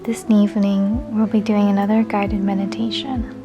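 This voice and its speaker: A woman leading a guided meditation in a soft, soothing, unhurried way, often close to a whisper. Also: medium pitch, flat delivery, dull audio, over music.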